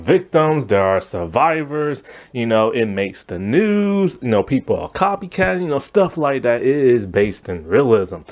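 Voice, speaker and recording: A man hosting a casual movie review monologue, his voice strained and going hoarse as he rambles with lots of fillers. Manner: rambling, lots of fillers, animated pitch swings. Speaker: movie review channel host.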